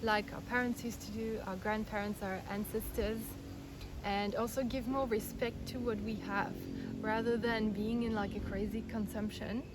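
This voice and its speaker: A woman in her thirties from Belgium, raised in France, calmly explaining her views in an interview, earnest and step by step with frequent restarts. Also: mid-range voice, moderate pace. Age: 30s. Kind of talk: casual explanatory interview answers. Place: outdoors in India. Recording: outdoor recording with clear background noise.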